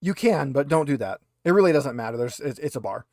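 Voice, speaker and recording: A man narrating a tabletop game as game master, moving between read description and casual, halting explanation. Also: medium pitch, lively intonation, a little mellow, no room sound, clean studio sound.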